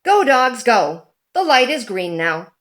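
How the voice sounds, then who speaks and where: A woman reading a children's picture book aloud in a deliberate, animated storytelling manner. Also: fairly high pitch.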